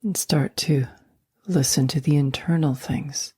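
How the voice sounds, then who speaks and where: A woman guiding a sleep meditation, speaking slowly and softly, often in a near whisper. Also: deep voice, husky, dry recording, studio quality. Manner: slow, soft and soothing, often whispered. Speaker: meditation guide.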